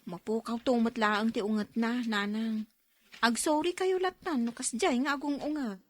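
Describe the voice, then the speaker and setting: A woman's voice: on the high side, moderate pace, no room sound, close to an everyday voice. A woman playing a patient, soothing Filipino daughter in a radio drama. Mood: soothing, apologetic.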